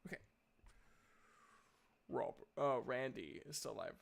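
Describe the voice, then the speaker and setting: A man's voice: mid-range voice, lively intonation, a little slow, creaky. A man acting as game master, chatting casually as he runs a tabletop role-playing game.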